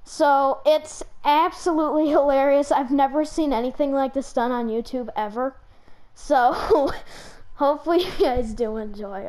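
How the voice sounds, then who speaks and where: A woman giving casual gameplay commentary as a host, in an excitable, giggly manner. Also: a little high, slight background noise. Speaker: host, gaming YouTuber.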